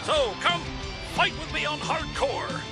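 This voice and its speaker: A man's voice: very high-pitched, lively intonation, measured pace, creaky, over loud music, exaggerated voice. A male actor playing a brash, goofy, self-promoting arena commentator in a video game, with bombastic, cocky showman's patter. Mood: cocky, excited. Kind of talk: showy sports broadcast patter.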